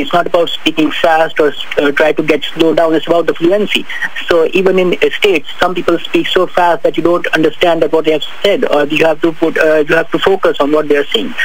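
A man with a Pakistani accent and a notably high voice speaks as a radio guest. He promotes his work in long, fluent stretches, often restarting his sentences. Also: a bit bright, slight background noise, poor audio. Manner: fluent, repetitive promotional talk with frequent restarts. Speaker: guest author. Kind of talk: radio interview.

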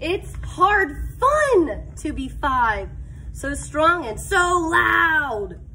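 A woman's voice: high-pitched, a little hiss or hum, poor audio. A middle-aged woman, a kindergarten teacher, reading a picture book aloud expressively with asides to the children, stretching her words. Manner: animated, deliberate delivery, stretching words for young children. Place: indoors, school classroom.

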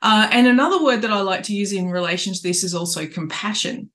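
A middle-aged woman speaks at an ordinary, middle pitch on a home webcam. She coaches earnestly and spiritually, often breaking off and restarting her sentences. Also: fast, a bit bright. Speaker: life coach. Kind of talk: reflective coaching talk to camera. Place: indoors in a small home room.

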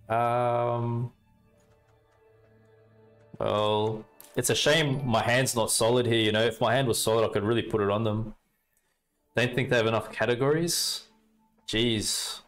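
A young Australian man casually commenting on his card game and chatting with viewers, relaxed and talkative. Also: mid-range voice. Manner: relaxed, chatty, talks to chat while playing. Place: indoor room at a desk.

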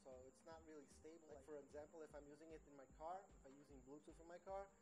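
A man's voice: a little high, racing. A man in his forties talks casually and plainly, explaining audio equipment as he demonstrates and reviews it. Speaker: product reviewer and mobile DJ. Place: small indoor room, seated at a table. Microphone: standalone audio recorder on the table.

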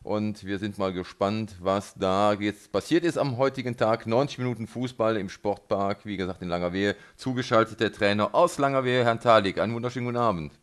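Halting male sports radio host. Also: fairly low pitch. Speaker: radio host interviewing a football coach. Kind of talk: local sports radio interview.

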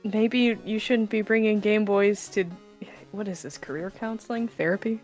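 A woman's voice: medium pitch, fairly even intonation, normal speed, darkish tone, faint music behind, low-quality recording. A woman chatting and joking with friends about a game, sometimes slipping into a mock counselor bit. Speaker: let's play co-host. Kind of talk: casual gaming chat.

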